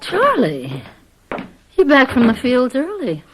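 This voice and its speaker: An actress playing a young, resigned farm wife in a radio drama, wary and pleading, with a hesitant, placating manner. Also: female voice, sits high, wildly swooping pitch, slow, faint music behind, full character voice.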